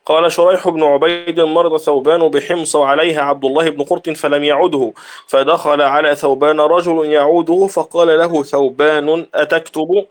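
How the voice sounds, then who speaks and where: A man's voice: fairly high pitch, little pitch movement, brisk. A man reads a classical text aloud as a student in a religious lesson, in a formal reciting manner.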